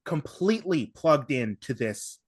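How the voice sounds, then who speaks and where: Man in halting, emphatic podcast discussion, dry sound. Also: a little high, sing-song, husky, no room sound. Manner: halting, self-interrupting, emphatic, repeats himself. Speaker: podcast panelist. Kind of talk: casual analytical discussion.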